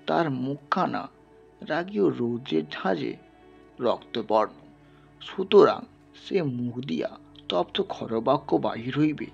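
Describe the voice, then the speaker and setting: A man's voice: mid-range voice, animated, slow, vocal fry, pauses often, slightly rough, highs cut off, faint music behind. A man narrating a literary story in a dramatic style, as the reader of an audio tale.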